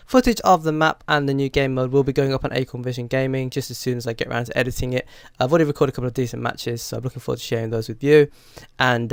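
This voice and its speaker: A man with a cold gives a casual gaming news rundown, his voice a little croaky and stuffy. His mid-pitched tone stays smooth and clear under the cold. The recording is close and dry, with no room sound. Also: dry recording. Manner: chatty, frequent uh and um fillers. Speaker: gaming channel host.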